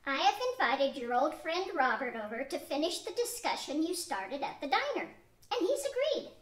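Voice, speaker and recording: Older lady doing marge, a calm sensible wife, high voice, echoey room. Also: normal speed. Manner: reads steadily from a script. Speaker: actor playing Marge, a wife. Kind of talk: amateur radio-play reading. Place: studio room with a cloth backdrop. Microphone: stand mic on a tripod.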